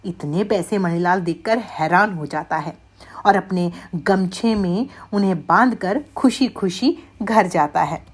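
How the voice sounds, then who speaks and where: A woman's voice: fairly low pitch, measured pace, husky. A woman narrating a scripted moral story for children as its storyteller.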